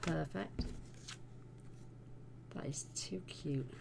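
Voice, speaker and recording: Low, gravelly woman crafting. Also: drawn-out words, background noise. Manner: chatty, homely, talks while working. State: croaky voice. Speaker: card-making channel host. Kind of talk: casual crafting tutorial.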